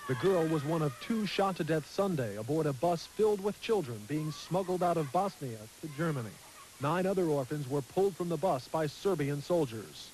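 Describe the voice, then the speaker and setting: A male television reporter delivering a formal, measured news package. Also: fairly high pitch, lively intonation, a little mellow, slight background noise, rough recording.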